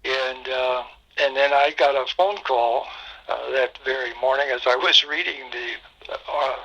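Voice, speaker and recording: Slow, husky-voiced man in his eighties. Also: medium pitch, bright, forceful tone, dull audio. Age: 80s. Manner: halting, reflective, many fillers. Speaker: pastor. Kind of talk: oral history storytelling.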